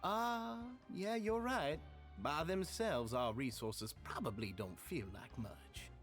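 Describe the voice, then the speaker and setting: Eager society leader, mid pitch, lively intonation, polite and a little nervous. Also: male voice, slow, soft background music. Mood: earnest. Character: earnest male society leader, deferential and eager.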